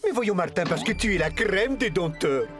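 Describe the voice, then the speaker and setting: An actor voicing a grandiloquent, pleading circus lion in a French cartoon. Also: male voice, sits high, lively intonation, normal speed, music in the background. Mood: flattering, eager. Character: escaped male circus lion, theatrical, flattering, gluttonous, self-pitying.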